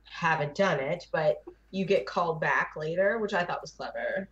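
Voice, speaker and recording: Slightly muffled lo-fi audio of a woman chatting as a panelist on a gaming show. Her voice is a little low for a woman, and she talks informally, acting out her own reactions. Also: normal speed, fairly bright tone. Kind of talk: casual gaming chat. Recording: dull lo-fi voice chat audio.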